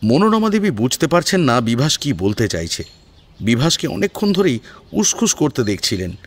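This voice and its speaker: A man's voice: mid-range voice, sing-song, moderate pace. A man reading a scripted story as a dramatic narrator. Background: background music.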